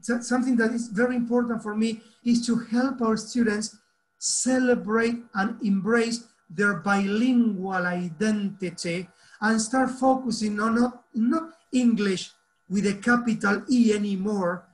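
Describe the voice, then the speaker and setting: Man, Argentinian accent, high-pitched, earnest teacher trainer, video call. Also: a little slow, poor audio. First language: Spanish. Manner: earnest and animated, asks the group questions. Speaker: teacher trainer hosting an online meeting. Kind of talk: casual talk to a video-call group.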